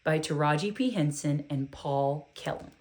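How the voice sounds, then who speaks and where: A woman reading a children's book aloud in an expressive, deliberate storytelling style with emphatic phrasing. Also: sits low.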